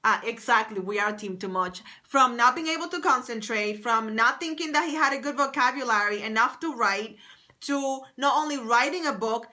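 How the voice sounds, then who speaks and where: Bright-voiced enthusiastic woman writing coach. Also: a little high, normal speed. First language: English. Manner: enthusiastic, repetitive, reads out viewer names. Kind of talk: live stream chatting with viewers.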